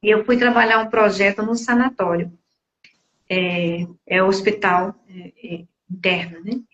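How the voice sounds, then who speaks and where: A woman's voice: mid-range voice, steady tone, a little slow, a bit bright, roomy sound. Brazilian woman in her sixties, slightly dull audio. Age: 60s. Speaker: podcast guest. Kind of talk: casual conversation, personal reflection.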